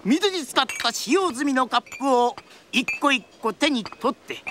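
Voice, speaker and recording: A woman's voice: high-pitched, expressive, moderate pace, background noise. A woman narrating a drama from a script in a theatrical, traditional storyteller's style.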